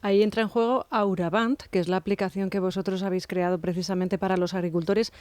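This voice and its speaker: A man, co-hosting a radio interview and posing brisk, inquisitive questions. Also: sits high, steady tone, darkish tone, dry recording.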